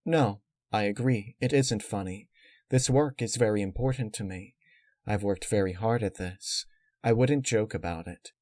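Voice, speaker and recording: A man voicing a cold, calculating female unicorn villain who explains menace in a measured, matter-of-fact way. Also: fairly low pitch, darkish tone, dry recording, studio quality, lightly acted. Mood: calm, grave.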